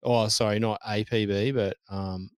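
A man's voice: fairly low pitch, steady tone, unhurried, clean tone, dry recording, clean studio sound. A man, co-hosting a casual podcast chat, blunt and sweary. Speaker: podcast co-host.

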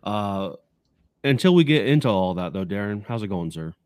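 A man co-hosting a casual sports podcast in an upbeat, excited way, recorded in a basement home studio. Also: fairly low pitch, normal speed, dry recording. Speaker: podcast co-host.

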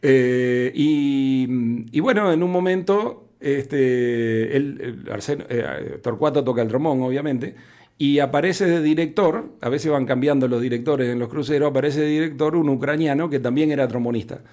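A man's voice: medium pitch, moderate pace, a little mellow. A man with an Argentine Spanish accent, speaking conversationally as a festival founder being interviewed on radio.

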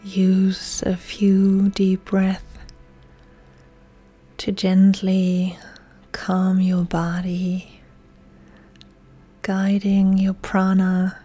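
A woman guides a relaxation meditation as a yoga instructor. Her voice is soft, breathy and mellow, often close to a whisper. She soothes with very drawn-out, unhurried phrasing over faint music. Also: mid-range voice, completely flat delivery. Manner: soothing, hushed, often near-whispered delivery.

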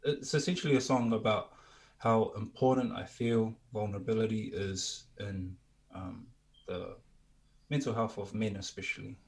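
A man with a fairly low voice, talking as a musician guest in a relaxed interview chat. He is reflective and candid, feeling his way through each thought in halting, careful phrases. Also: rough recording.

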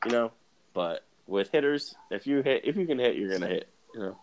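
A man's voice: medium pitch, lively intonation, a little fast, darkish tone, a little hiss or hum, poor audio. A young man co-hosting a podcast, talking in a laid-back way and swearing freely. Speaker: podcast co-host. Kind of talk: casual chat.

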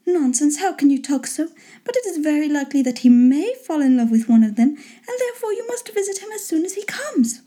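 A woman voicing a fussy, excitable middle-aged wife and mother in a storybook reading, gushing, pleading and impatient. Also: sits high, fairly quick, voice pushed up. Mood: exasperated. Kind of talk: storybook character voice in an audiobook reading.

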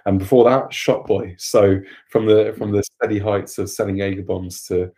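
A man from Wales with a Welsh accent, a guest explaining his work in a relaxed way with some stumbles. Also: fairly low pitch, moderate pace. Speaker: guest, agency director. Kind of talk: casual interview talk.

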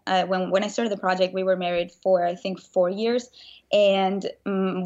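A woman's voice: mid-range voice, a little mellow. A woman, telling personal stories openly as a podcast guest. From Venezuela. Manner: open, storytelling, laughs easily. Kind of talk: casual interview. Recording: slightly dull lo-fi audio.

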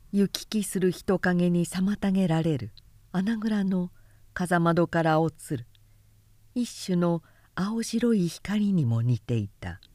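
A woman narrating literary fiction aloud as an audiobook, with measured, deliberate phrasing and pauses. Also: on the low side, mellow, dry recording. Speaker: narrator reading a novel aloud.

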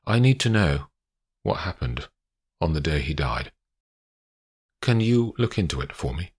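A man's voice: very deep voice, very animated, unhurried, slightly rough, full character voice. A man playing an anxious, short-tempered wealthy client who talks in a curt, tense manner. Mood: worried.

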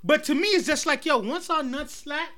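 A young man hosting a casual group chat, animated and asking the panel questions. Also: extremely high pitch, normal speed. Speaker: podcast host.

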